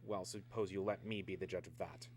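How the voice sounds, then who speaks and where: An actor playing a stern, skeptical army major in a mid-century radio drama, speaking formally and insistently over an old humming recording. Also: male voice, sits low, fairly even intonation, brisk, a little mellow, slight background noise, natural voice. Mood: insistent, probing.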